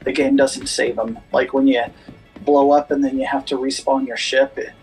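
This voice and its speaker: A man with a soft, gentle voice, chatting casually over voice chat with a streamer. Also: medium pitch, flat delivery, normal speed, soft background music, low-quality recording. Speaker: guest gamer.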